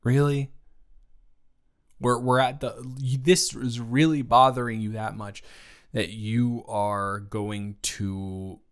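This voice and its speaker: A man acts out a young male roommate in an intimate improvised audio drama. He is jokey but nervous, talking in a natural mid-pitched voice with hesitant stammers and rambling half-finished sentences. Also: little pitch movement, slow pace, clear, resonant tone. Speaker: roommate character in audio roleplay.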